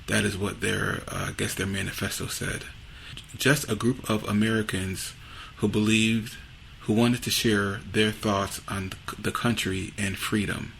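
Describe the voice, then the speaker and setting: A man's voice: lowish voice, level tone, unhurried, creaky, fairly bright tone, slight background noise, rough recording. A man hosting a podcast, giving an informal, unpolished introduction. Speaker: podcast host. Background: music under the voice.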